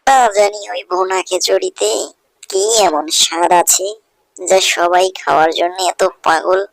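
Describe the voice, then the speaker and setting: Woman as a low-voiced wistful village girl. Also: steady tone, unhurried, dry recording. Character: poor young village girl, wistful, craving a treat. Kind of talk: Bengali cartoon story dubbing.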